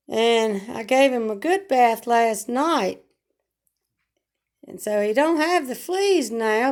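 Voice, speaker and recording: A hoarse woman, chatting slowly and plainly about her week at home into a phone camera. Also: fairly high pitch, darkish tone. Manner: slow, homespun, rambling talk with regional grammar. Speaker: vlogger. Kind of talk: casual home vlog chat.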